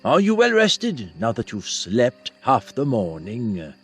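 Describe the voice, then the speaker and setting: Man acting out a sneering bully of an older brother, husky, wildly swooping pitch, condescending, fantasy audiobook, music behind. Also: huge pitch swings, slow pace, full character voice. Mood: scornful. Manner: taunting, condescending.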